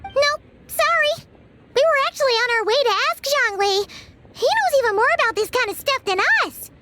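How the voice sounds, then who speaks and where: A woman voicing a small, childlike fairy companion in an anime video game, squeaky, chirpy and curious. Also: expressive, normal speed, very noisy. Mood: eager. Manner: speaks of self in third person.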